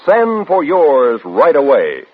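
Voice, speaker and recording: A man's voice: fairly high pitch, huge pitch swings, takes it slowly, slightly rough. An American man reading a scripted 1950s radio commercial in an emphatic, salesmanlike way. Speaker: commercial announcer. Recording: tinny old radio broadcast.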